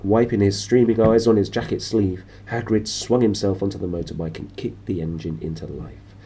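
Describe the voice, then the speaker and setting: A man narrating a storybook in a lively way, with informal, playful asides to his listeners. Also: fairly low pitch, moderate pace, slightly rough. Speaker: reader, host of a read-aloud series.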